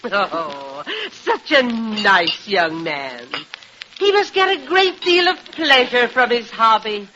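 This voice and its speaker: A woman's voice: fairly high pitch, big pitch swings, takes it slowly, sharp tone, heavily acted. An actress playing a genteel, formal female college dean in a vintage radio sitcom. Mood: genteel, pleased. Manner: formal, precise, proverb-quoting. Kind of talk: 1950s radio sitcom acting. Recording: very noisy, muffled old radio recording.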